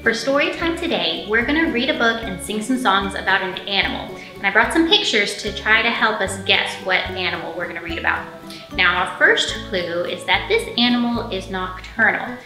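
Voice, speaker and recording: A woman in her thirties leading a storytime for young children, speaking in simple, expressive phrases with plenty of questions. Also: mid-range voice, normal speed. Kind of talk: storytime teaching, singing and picture-book reading for young children. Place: indoor library storytime room. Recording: roomy echo, faint music.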